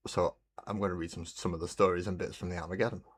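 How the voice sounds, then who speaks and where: A man hosting a relaxed hobby livestream, chatting in a rambling way and greeting viewers while he paints. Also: deep voice, quick pace, husky. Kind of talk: casual chat while painting. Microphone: desk mic on a webcam stream.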